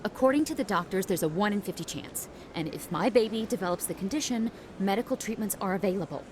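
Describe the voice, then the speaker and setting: An actress playing a stubborn, grieving young widow in a video game drama, defiant and emotional. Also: female voice, medium pitch, expressive, quick pace, background noise, close to an everyday voice. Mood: grieving, firm.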